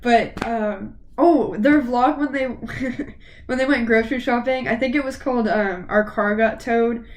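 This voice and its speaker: A teenage girl vlogging in a rambling, gushing way, her voice a little on the high side. She sounds slightly sniffly as she gets over a cold, and there is a faint hiss behind her. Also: a little slow. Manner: rambling, gushing fan talk. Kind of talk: casual chat to camera.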